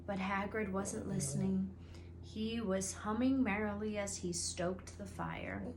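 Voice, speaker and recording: A woman reading a storybook aloud as a teacher, in an expressive narrating manner. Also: mid-range voice, unhurried, slight background noise.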